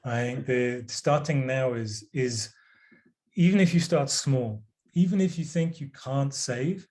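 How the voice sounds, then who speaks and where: A man answering interview questions as a guest author, measured and plain-spoken with some hesitant restarts. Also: mid-range voice, darkish tone.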